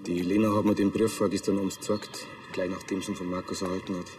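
Actor as earnest Bavarian chimney sweep. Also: male voice, low voice, level tone, quick pace, noisy background, natural voice. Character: earnest male master chimney sweep in TV crime comedy.

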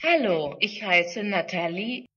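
A woman voicing a young woman student who introduces herself in slow, carefully spoken model sentences. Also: medium pitch, big pitch swings, a bit bright, husky, lo-fi sound, heavily acted.

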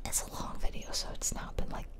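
A woman whispering casual, chatty ASMR commentary over a video game, close to the microphone. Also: fairly quick. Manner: casual, chatty asides to viewers. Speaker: gaming video host. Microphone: close-up microphone, ear-to-ear whispering.

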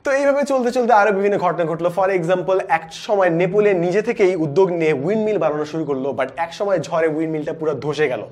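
A man in his twenties with a Bangladeshi accent, presenting a book review in an animated, explanatory way with English words mixed in. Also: high-pitched, fast, hardly any pauses. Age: 20s. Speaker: book reviewer, educational video host. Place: indoor studio with plain backdrop. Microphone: lapel mic.

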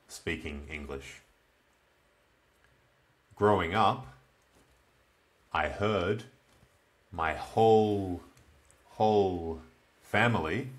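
A man in his twenties with an Australian accent, teaching beginners in very slow, simple, repeated phrases. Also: low-pitched. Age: 20s. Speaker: English teacher. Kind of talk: slow comprehensible-input lesson for beginners. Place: small plain room indoors.

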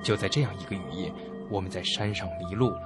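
A man's voice: low-pitched, fast, music in the background, close to an everyday voice. A man playing a young traveller who narrates a suspenseful radio drama. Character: young male traveller recounting an eerie trip. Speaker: narrator and character. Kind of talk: scripted suspense story narration.

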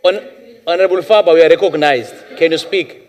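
A middle-aged man presiding over a parliamentary session, giving rulings in a halting, repetitive way. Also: on the high side, a little mellow. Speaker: presiding chairperson. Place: large parliament chamber, indoors. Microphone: desk microphone at presiding chair. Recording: reverberant parliament chamber.

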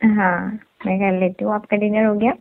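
A woman's voice: medium pitch, level tone, normal speed, creaky. A woman chatting casually on a recorded phone call in a playful, teasing manner.

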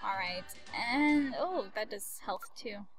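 A young woman giving playful, excitable, singsong commentary as she hosts a casual game playthrough. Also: on the high side, takes it slowly, poor audio. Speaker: gaming video host. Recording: game music behind the voice.

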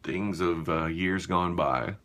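A man in his fifties chatting casually and haltingly to viewers in a small bathroom while busy with a task. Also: low voice, pushed and bright. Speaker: shaving vlogger.

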